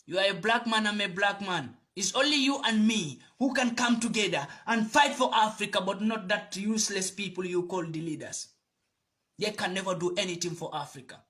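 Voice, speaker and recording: A man speaks in a very high, sharp, bright voice. He gives a passionate address to camera. He drives his points home with preachy repetition. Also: normal speed. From Gambia. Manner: fiery, preachy, repeats phrases for emphasis. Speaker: video commentator.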